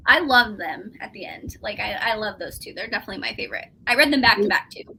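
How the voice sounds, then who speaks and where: A woman's voice: mid-range voice, expressive, fast, creaky, pushed and bright, a little hiss or hum, rough recording. A woman co-hosting a casual book chat, exclaiming and acting out reactions as she talks. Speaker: co-host of a book club live show.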